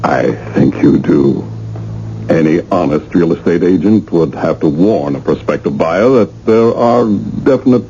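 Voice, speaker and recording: A guarded male estate agent speaks in a deep, mellow voice, grave and halting. The radio play has a dull sound with faint hiss. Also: gravelly. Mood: uneasy, grave. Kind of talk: radio drama acting. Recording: dull, hissy old radio recording.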